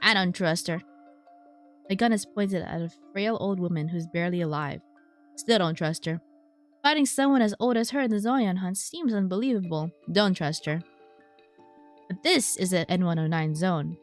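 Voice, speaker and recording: A woman's voice: lowish voice, normal speed, faint music behind. A woman streaming a story game, reading its text aloud expressively with casual, wry asides. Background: game soundtrack.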